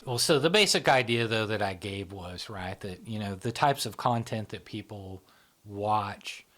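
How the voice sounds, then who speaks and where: Middle-aged guy with a low voice, rambly casual interview talk, lots of "you know?" and "right?". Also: lively intonation, measured pace, fairly bright tone. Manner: rambling, filler-heavy, keeps asking "right?" and "you know?". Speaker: psychology channel founder and host.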